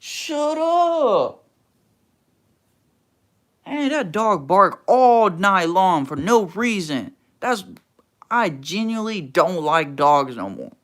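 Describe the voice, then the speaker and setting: A man's voice: high voice, very animated, slow, long pauses, fairly bright tone. A young man from Texas, hosting a casual livestream and answering chat in a laid-back, slangy, teasing way. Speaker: livestream host reading chat.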